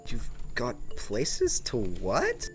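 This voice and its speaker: A woman's voice: a bass voice, huge pitch swings, extremely slow, soft background music, exaggerated voice. A woman voicing a bewildered, casual newcomer to a magical realm in an audio drama.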